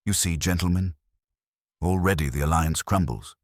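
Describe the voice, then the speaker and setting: A man voicing a heavy, older genius detective who speaks in a formal, imperious and calm manner in a narrated story. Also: low voice, normal speed, dry recording, studio quality, lightly acted. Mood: stern, authoritative. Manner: ponderous, formal, authoritative.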